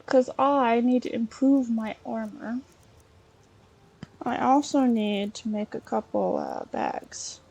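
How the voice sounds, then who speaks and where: A woman narrating a video game in character as an adventuring scholar, halting and thinking aloud as she goes. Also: a little high, vocal fry, darkish tone, slightly rough, dull audio. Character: chatty Breton scholar woman, treasure-hunting adventurer. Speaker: let's play host role-playing a character.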